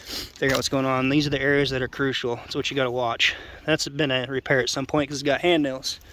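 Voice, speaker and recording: A man's voice: mid-range voice, flat delivery, fast, a bit bright, slight background noise. A man with a congested, nasal voice giving an informal, unscripted hands-on explanation as a roofer, in a plainspoken, rambling way. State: congested nose. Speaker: roofer presenting his own video. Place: outdoors on a house roof.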